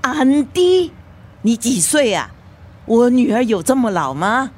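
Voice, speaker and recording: An actress in a radio drama playing a nosy, excitable middle-aged mother with a Singaporean accent, probing and exclamatory. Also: fairly high pitch, slow pace, husky, heavily acted. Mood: suspicious, indignant.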